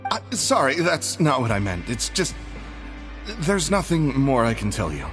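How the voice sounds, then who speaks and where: A man's voice: mid-range voice, very animated, unhurried, music in the background. An actor playing an anxious, evasive male society clerk who stammers and apologizes.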